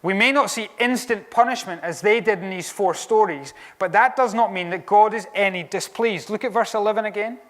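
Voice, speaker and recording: A Scottish man preaching a sermon in an animated, conversational way, with wry asides. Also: high-pitched, brisk, hardly any pauses. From Scotland.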